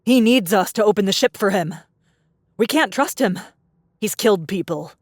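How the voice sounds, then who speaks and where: A woman's voice: mid-range voice, expressive, moderate pace, no room sound, clean studio sound, close to an everyday voice. An actress playing a young, hard-willed, distrustful female survivor, firm and accusing, in an audiobook drama. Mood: cold, resolute.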